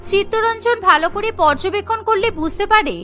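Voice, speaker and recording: A woman's voice: very high voice, brisk, pushed and bright, soft background music. A woman reading scripted narration for a cartoon story.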